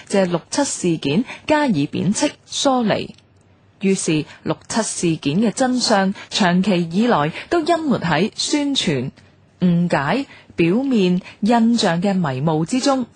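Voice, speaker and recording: A woman radio presenter with a Hong Kong Cantonese accent, reading a scripted documentary in a formal, measured way. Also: on the low side, darkish tone, breathy edge, no room sound.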